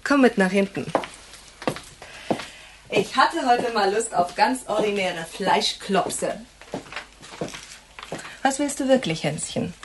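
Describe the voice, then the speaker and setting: An actress playing a teasing, familiar restaurant keeper in a radio crime drama, with a playful, mocking manner. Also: female voice, mid-range voice, animated, takes it slowly, long pauses, background noise. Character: teasing, familiar female health-food restaurant keeper, old friend.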